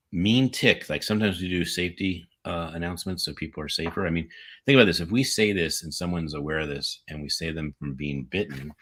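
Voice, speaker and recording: A man's voice: deep voice, a bit bright, slightly rough. An American man co-hosting a casual talk show, rambling and joking and correcting himself as he goes. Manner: rambling, jokey, self-correcting. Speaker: podcast co-host. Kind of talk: casual chat.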